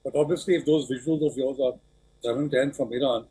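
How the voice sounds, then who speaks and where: A man giving measured, thoughtful analysis in a news interview. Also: mid-range voice, fairly even intonation, dark tone, husky, poor audio.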